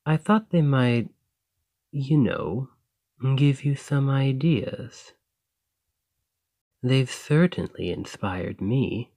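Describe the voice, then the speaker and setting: A man acts out a lovestruck dragon who works as a librarian, talking slowly and softly to his beloved. He is earnest, gentle and a little shy, in an intimate romantic audio roleplay with a very dry, close sound. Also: mid-range voice, expressive, no room sound, exaggerated voice. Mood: tender, bashful. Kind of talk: romantic ASMR roleplay, speaking to a listener.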